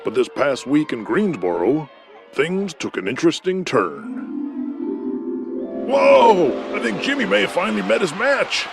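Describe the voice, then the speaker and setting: A man's voice: high voice, very animated, unhurried, vocal fry, music in the background, exaggerated voice. An actor playing an emphatic 1980s male TV wrestling announcer doing folksy play-by-play in an audio drama, with noisy arena ambience. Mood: excited. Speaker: wrestling commentator.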